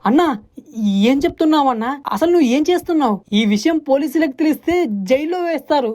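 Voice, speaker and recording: Woman as an earnest, high-voiced young fisher boy. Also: fast, warm tone, faint music behind, lightly acted. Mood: worried pleading. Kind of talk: animated moral story dialogue.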